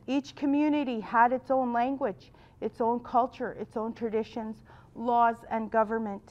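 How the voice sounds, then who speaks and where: Woman facilitator, high voice, projects loudly to a big room without a mic, dull lo-fi sound. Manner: loud projecting voice without a microphone. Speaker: workshop facilitator. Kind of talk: guiding a group exercise. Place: large event room.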